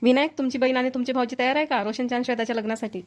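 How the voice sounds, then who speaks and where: A woman's voice: high voice, steady tone, very fast, lightly acted. A woman voicing an eager, inquisitive middle-aged village wife and mother in a Marathi cartoon comedy, with a questioning way of talking.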